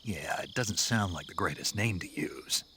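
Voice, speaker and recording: Very deep, gruff soldier. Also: male voice, lively intonation, normal speed, pushed and bright, slight background noise, heavily acted. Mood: puzzled, dry. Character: gruff, laconic male special forces operative, middle-aged. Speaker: field agent taking a briefing. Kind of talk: video game voice acting.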